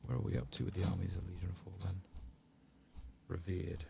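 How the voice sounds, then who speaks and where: A soft-spoken man giving ASMR video game commentary, hushed and often drifting into a whisper. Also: sits low, brisk, gravelly, heavy background noise.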